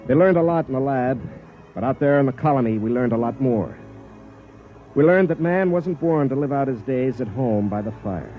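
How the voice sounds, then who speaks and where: A man's voice: medium pitch, lively intonation, moderate pace, faint music behind, natural voice. An actor playing a weary, stubborn soldier on a colony world, narrating his story in plain, grim first person, in 1950s radio drama style. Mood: reflective. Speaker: narrator and lead character. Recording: dull old radio broadcast.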